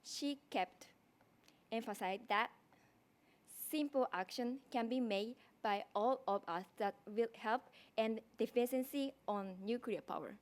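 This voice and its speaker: A woman's voice: on the high side, a little slow. A young woman with a strong Japanese accent giving a prepared thesis presentation, speaking carefully with frequent restarts. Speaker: design graduate student presenting a thesis.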